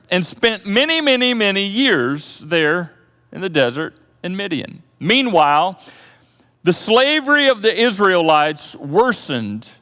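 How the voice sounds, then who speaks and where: A man preaching a sermon as pastor, telling a story in an animated way and often repeating words as he thinks aloud. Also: a little high. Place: church auditorium. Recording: long church hall reverb.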